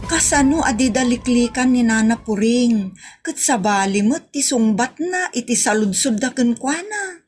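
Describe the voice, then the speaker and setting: A woman acting a plaintive, talkative Filipino woman in a comedy audio drama, with an Ilocano accent and drawn-out way of explaining. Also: fairly high pitch, expressive, a little mellow, faint music behind. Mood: sympathetic, explaining.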